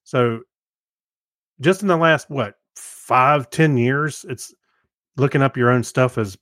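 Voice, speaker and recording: Middle aged podcast guy just chatting, telling little stories, normal pitch, really dry sound. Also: slow pace, fairly bright tone, no room sound. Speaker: podcast co-host. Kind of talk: casual chat, personal anecdotes.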